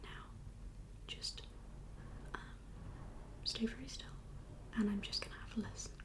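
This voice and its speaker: A woman whispering as a doctor in an ASMR exam roleplay, giving gentle, reassuring instructions in a breathy voice. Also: on the high side, fairly even intonation, a little slow. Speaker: ASMR performer playing a doctor.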